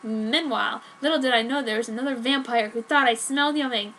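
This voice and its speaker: A woman's voice: a little high, a little fast, fairly bright tone. A woman giving a dramatic reading of a fan fiction story. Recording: noticeable background noise.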